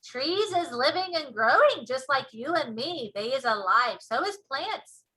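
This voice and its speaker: Casting: woman to play an emphatic, proud storybook giant, easily offended, forceful tone, quaint broken grammar and nonsense words. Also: high voice, expressive, a little slow, exaggerated voice. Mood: earnest. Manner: folksy muddled grammar with whimsical invented words.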